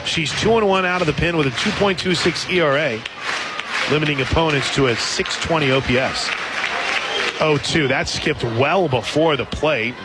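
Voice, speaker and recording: An American man doing live radio play-by-play of a softball game as the announcer. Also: fairly high pitch, moderate pace, very noisy. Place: outdoor softball stadium. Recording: loud crowd and music, outdoor stadium broadcast. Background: loud crowd and music.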